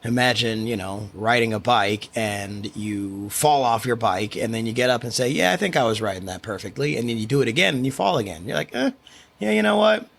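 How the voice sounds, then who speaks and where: A man with a quiet voice, hosting a solo podcast and talking in a reflective, rambling way with frequent restarts. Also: medium pitch, lively intonation, a little fast, vocal fry. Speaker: podcast host. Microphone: studio microphone.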